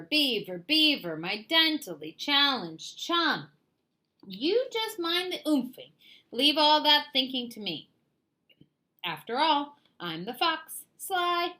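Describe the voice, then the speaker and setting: A smug male fox from a storybook, acted out by a woman, bright and high with wildly swooping pitch. He is boastful and theatrical, wheedling one moment and dismissive the next. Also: big pitch swings, takes it slowly, heavily acted. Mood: condescending, boastful. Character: sly, smug, condescending male fox schemer. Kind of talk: children's storybook character voice.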